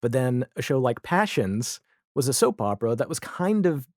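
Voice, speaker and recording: A man with a mid-range voice talks casually as a podcast guest about pop culture. He runs through lists with halting asides, and the sound is close and very dry. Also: medium pitch, very animated, dry recording.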